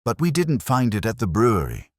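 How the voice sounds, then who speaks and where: A man voicing an earnest, sharp young police sergeant who talks in a questioning, earnest way, recorded close on a studio mic. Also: on the low side, wildly swooping pitch, fairly quick, no echo, voice pushed up.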